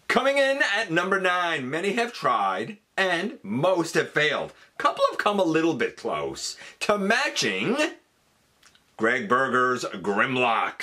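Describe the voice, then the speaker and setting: Male, mid-pitched, very animated intonation, showy countdown host, chatty commentary. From Canada. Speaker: YouTube channel host. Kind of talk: countdown video commentary to viewers.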